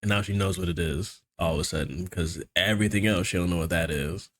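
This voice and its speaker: A man's voice: low-pitched, a little fast, fairly bright tone. An American man hosting a casual reaction commentary, talking in slang with a skeptical, critical manner. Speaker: reaction video host.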